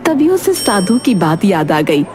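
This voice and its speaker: A woman narrates a children's moral tale. Her mid-pitched voice is expressive and moves up and down a lot, with music playing clearly underneath. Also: unhurried. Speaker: story narrator.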